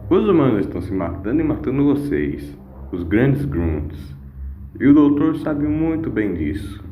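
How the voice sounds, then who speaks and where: A man's voice: medium pitch, sing-song, a little slow, muffled recording. Man playing a scheming, insistent wandering villain. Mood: goading. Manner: goading, dismissive, insistent. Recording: echoing voice over music.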